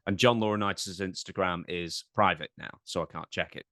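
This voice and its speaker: A man with a fairly low voice co-hosts a podcast in casual conversation. He moves the discussion along with a wry touch. The recording is very dry, free of room echo. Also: steady tone, brisk, bright, forceful tone. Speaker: podcast co-host. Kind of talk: casual chat, guiding topics.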